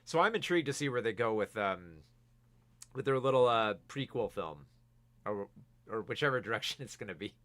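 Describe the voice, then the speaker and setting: A man's voice: fairly low pitch, expressive, brisk, fairly bright tone, dry recording. A man hosting a casual film review show, rambling with many restarts while he is losing his voice. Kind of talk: casual video review, reading viewer comments.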